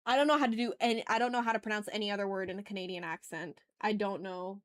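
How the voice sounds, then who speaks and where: A woman's voice: mid-range voice, fairly even intonation, fast, no echo, studio quality. A woman chatting casually and playfully with her viewers as she hosts a live art stream. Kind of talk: casual livestream chat while drawing.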